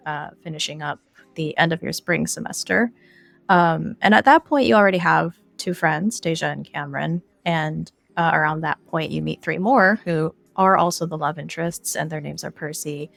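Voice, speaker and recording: A woman's voice: sits low, vocal fry, no room sound. A woman giving explanatory answers as an interview guest. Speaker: interview guest, game editor.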